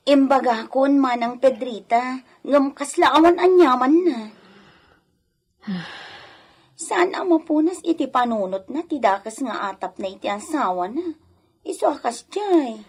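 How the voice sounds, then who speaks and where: Anxious maid, raised husky voice, earnest, deferential, Filipino accent, radio drama. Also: female voice, high-pitched, animated, measured pace, pauses often, heavily acted. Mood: concerned. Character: worried, loyal female household servant, earnest and fretful. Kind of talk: Ilocano radio drama acting.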